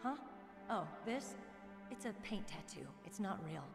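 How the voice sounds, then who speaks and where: A woman's voice: medium pitch, animated, unhurried, gravelly. Heavy echo and background music surround a young woman soldier in a video game. She is tough and proud, speaking frankly and earnestly, with a searching streak under the self-assurance. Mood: guarded, wry. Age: young adult. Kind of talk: dramatic game cutscene dialogue. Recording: echoey reverberant game audio, music underneath.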